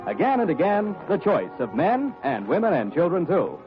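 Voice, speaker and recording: A man's voice: high voice, wildly swooping pitch, normal speed. A male radio announcer reading a commercial and closing credits in a polished, emphatic salesman style. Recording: muffled vintage radio broadcast with faint music.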